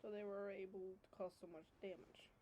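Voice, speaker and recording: A woman's voice: medium pitch, level tone, fairly quick, mellow, a little hiss or hum. A woman host making casual, wry asides while playing through a video game. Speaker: gaming channel host. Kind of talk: casual playthrough commentary.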